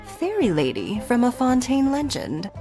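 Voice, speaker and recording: An actress voicing a composed, courteous woman who leads a city, speaking in a formal, elegant, measured way. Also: fairly high pitch, huge pitch swings, dark tone, faint music behind, full character voice. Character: poised, courteous adult woman leader of a city. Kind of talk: video game voice acting.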